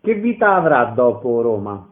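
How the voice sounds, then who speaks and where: A man's voice: medium pitch, big pitch swings, normal speed. A man interviewing an actress, asking brief, plain questions. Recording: phone-like narrow sound.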